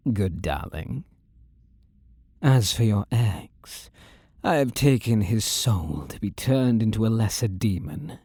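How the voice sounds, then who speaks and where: A man performs a possessive demon lover speaking intimately to his beloved in an audio roleplay. His slow, commanding delivery swings widely in pitch and sometimes drops to a whisper. The voice is dark and mellow, with a sinister, seductive purr, recorded close and dry. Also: dry recording, full character voice. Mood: menacing, coaxing.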